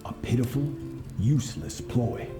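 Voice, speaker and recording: A man playing a cold, arrogant, battle-hungry warrior master in a video game, speaking in a stern, lofty, deliberate way. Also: medium pitch, very animated, echoey room, music in the background. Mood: stern, scornful. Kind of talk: dramatic video game acting.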